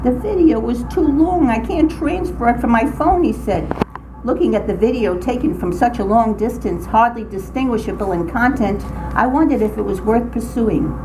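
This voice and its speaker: An older woman with a fairly high voice reads her own funny account to a writing group. Her delivery is theatrical, with deliberate phrasing. The room recording carries clear background noise. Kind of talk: reading a humorous personal essay aloud. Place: indoor group meeting room.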